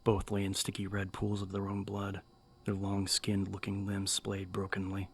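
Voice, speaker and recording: A man narrating dramatic fiction as an audiobook, with measured, suspenseful delivery. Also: low voice, completely flat delivery, gravelly, a little hiss or hum.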